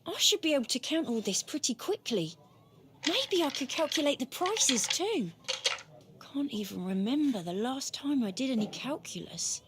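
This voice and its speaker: A woman's voice: high-pitched, big pitch swings, moderate pace, fairly bright tone, slight background noise. A woman voicing a timid, humble young servant boy in an audio drama, speaking politely and deferentially. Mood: absorbed, musing. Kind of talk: video game audio drama acting.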